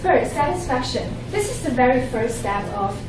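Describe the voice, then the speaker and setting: A young woman in her twenties from China, a nonnative speaker with a Chinese accent, presenting earnestly with halting restarts. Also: on the high side, animated. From Shanghai, China. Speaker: conference presenter. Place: conference room. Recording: echoey room from far off with hum.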